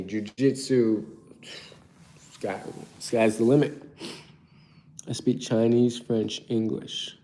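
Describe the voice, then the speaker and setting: A young man from Turkey chatting on a live stream. He sounds low and relaxed, with a gravelly edge. He reads out viewer questions and drifts casually through each answer.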